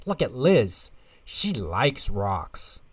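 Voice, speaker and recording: Storybook schoolboy man performing: caring, eager, exclaiming, swooping pitch, slow delivery. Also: breathy edge, no room sound, heavily acted. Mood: excited. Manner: expressive, exclaiming. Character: earnest young schoolboy, caring, eager and easily worried. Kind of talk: children's storybook reading.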